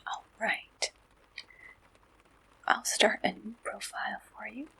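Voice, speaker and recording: A woman whispers slowly in an ASMR roleplay, very breathy with a bright, sibilant edge. She speaks as a gentle, reassuring receptionist, close to the microphone and never hurried. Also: medium pitch, fairly even intonation, poor audio. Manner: gentle, unhurried, reassuring intake questioning.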